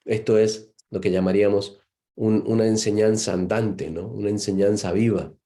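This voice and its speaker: Mellow-voiced Argentine man lecturing. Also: fairly low pitch, fairly even intonation, normal speed. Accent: Argentine Spanish. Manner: thoughtful, many fillers and rhetorical "¿no?" tags. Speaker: lecturer and psychologist. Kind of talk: seminar lecture.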